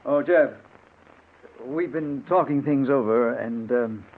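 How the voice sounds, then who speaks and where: A man's voice: mid-range voice, slow pace. Reasonable, hesitant male friend, medium register, classic radio thriller, thin band. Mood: soothing. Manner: hesitant, placating. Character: placating male family friend, nervously reasonable. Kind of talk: old-time radio drama acting. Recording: tinny, noisy old radio recording.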